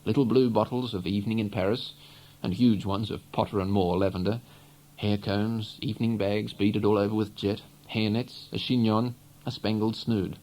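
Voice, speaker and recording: Mellow man reading literary fiction. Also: fairly low pitch, steady tone, normal speed, low-quality recording. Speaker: audiobook narrator. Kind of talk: literary narration read from a novel.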